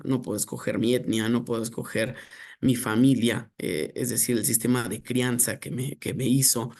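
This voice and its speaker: A man giving an academic lecture, speaking in a measured, reflective way with filler pauses. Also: mid-range voice, gravelly, darkish tone.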